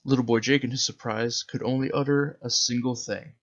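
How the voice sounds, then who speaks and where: A man's voice: mid-range voice, steady tone, fairly quick, muffled recording. A man narrating his own short story in a dramatic storybook style. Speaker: author reading his own zine.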